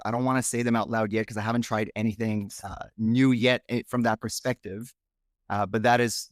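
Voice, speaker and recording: A man born in Romania, speaking English as a later language, talks frankly and reflectively as a podcast guest. His voice sits fairly low, and the sound is dry and close with little room in it. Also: dry recording. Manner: frank, reflective, thinks aloud. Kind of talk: casual interview chat.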